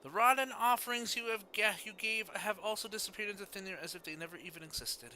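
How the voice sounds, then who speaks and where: A man's voice: sits high, fast, sharp tone, slight background noise. A man hosting a casual game livestream, chatting and reading story text aloud. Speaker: video game streamer.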